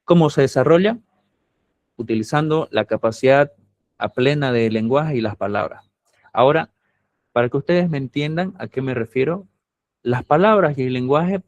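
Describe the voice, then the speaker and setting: A man's voice: medium pitch, darkish tone, dry recording. A man from Santa Cruz, Bolivia, with a camba accent, lecturing a university class in a patient, explanatory way and often checking that students follow.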